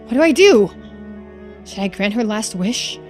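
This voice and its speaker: A woman's voice: mid-range voice, animated, unhurried, a little mellow, soft background music, lightly acted. A woman voicing a guilt-ridden, determined schemer in a mystery game, reading the dialogue aloud with earnest theatricality. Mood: anguished resolve. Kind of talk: dramatic read-aloud of visual novel dialogue.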